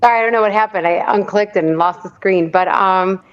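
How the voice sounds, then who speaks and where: A woman's voice: mid-range voice, a little fast, clean tone. A woman who works as a nurse, chatting as a guest on a video call. She is bubbly and rambling, with many ums. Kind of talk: casual chat. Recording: dull lo-fi video call audio.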